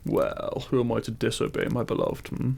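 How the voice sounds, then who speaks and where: Man playing a gravelly, devoted demon king lover. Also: mid-range voice, huge pitch swings, moderate pace, a little mellow, full character voice. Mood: seductive, tender. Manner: tender, formal, courtly phrasing. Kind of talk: intimate romantic audio roleplay, ASMR.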